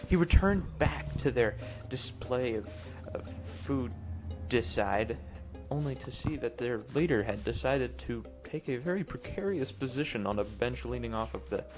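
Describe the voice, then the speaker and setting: A man improvising a mock-storybook narration over gameplay in a mock-serious, deadpan way. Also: mid-range voice, moderate pace, few pauses. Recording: music behind the voice. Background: clear background music.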